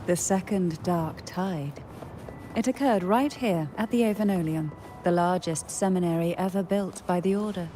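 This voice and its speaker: Woman acting slow, composed enigmatic noblewoman poisoner. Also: fairly low pitch, close to an everyday voice. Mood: serious, pondering. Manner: measured, formal, deliberate. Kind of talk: scripted video game character acting. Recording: game music behind the voice. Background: game music.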